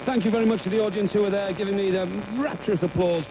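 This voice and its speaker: A man's voice: high-pitched, steady tone, quick pace. A man co-presenting on the radio in casual banter, effusive and happy to talk himself up. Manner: effusive, self-promoting. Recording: noisy radio broadcast capture.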